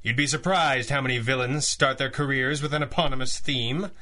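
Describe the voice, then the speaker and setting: Bright, forceful masked hero played by a man. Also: medium pitch, steady tone, a little fast, close to an everyday voice. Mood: smug, wry. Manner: formal, theatrical, punning. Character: masked 1930s male hero, composed, wry, gallant. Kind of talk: 1930s-style radio drama acting.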